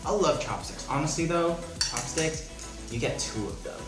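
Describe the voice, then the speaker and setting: A young man from Hong Kong chatting playfully while he cooks in his small apartment. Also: medium pitch. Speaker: vlogger, home cook. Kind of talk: casual cooking vlog chat. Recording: echoey room with music behind.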